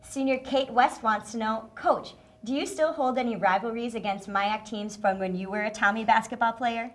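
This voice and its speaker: A woman's voice: fairly high pitch, moderate pace. A young woman hosting a student sports show from a studio desk, reading her lines upbeat on a lapel mic. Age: young adult. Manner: upbeat, reads from a script. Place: small TV studio set, indoors.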